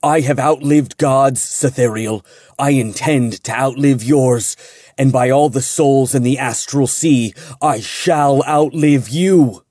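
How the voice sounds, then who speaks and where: A man's voice: fairly high pitch, drawn-out words, few pauses, warm tone, dry recording, studio quality, pitched above the performer's own voice. A man reading fantasy fiction aloud, voicing a fierce, defiant male warrior who was once an angel. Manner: roaring, defiant.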